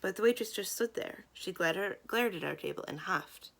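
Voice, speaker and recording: A woman's voice: mid-range voice, expressive, normal speed, gravelly. A woman reading a children's novel aloud as a storyteller. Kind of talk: scripted read-aloud narration of a children's novel.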